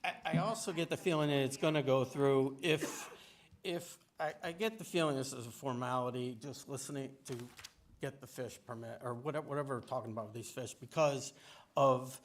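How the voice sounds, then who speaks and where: A man making his case informally as an applicant at a public meeting, halting and repeating himself insistently. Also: mid-range voice. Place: indoor municipal meeting room. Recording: noisy town meeting room.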